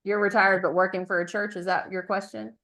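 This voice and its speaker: A woman's voice: medium pitch, flat delivery, a little fast, fairly bright tone. A woman informally moderating a meeting, relaying questions from the participants. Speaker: moderator reading out audience questions.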